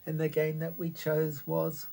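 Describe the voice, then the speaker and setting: A middle-aged Australian man giving a casual, unscripted hands-on demo in halting phrases, recorded on a handheld phone indoors. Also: on the high side, completely flat delivery, gravelly, dark tone. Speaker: retro computer hobbyist presenter. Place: small cluttered room indoors.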